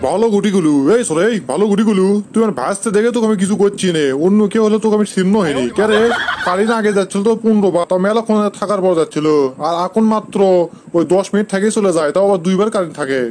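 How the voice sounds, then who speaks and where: Man acting as irritable village uncle, quick speech, high male voice, Bogura accent, argumentative, rustic, comic cartoon. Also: darkish tone, soft background music, lightly acted. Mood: scolding, indignant. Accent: Bogura, northern Bangladesh. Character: irritable, scolding village uncle, sceptical elder.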